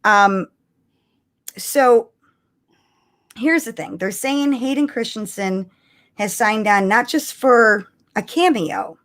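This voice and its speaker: A woman co-hosting a casual live-streamed chat about fandom news, talking in an animated, chatty way with exclamations. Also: on the high side, slow pace, long pauses. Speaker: podcast co-host.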